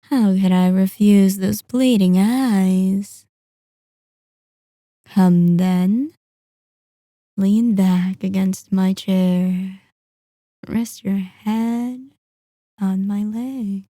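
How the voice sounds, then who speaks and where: A woman playing a sadistic, possessive vampire mistress in an ASMR roleplay, speaking softly and breathily with lingering, condescending endearments. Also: mid-range voice, fairly even intonation, extremely slow, long pauses, no room sound, clean studio sound. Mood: possessive, soothing.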